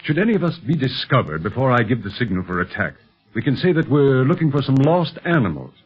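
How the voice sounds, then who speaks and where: A man's voice: mid-range voice, sing-song, fast, slightly rough. A man playing an assured, good-humoured secret police leader in an old radio serial, authoritative and reassuring. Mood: calm, reassuring. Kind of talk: 1930s radio acting. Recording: muffled vintage 1930s radio recording.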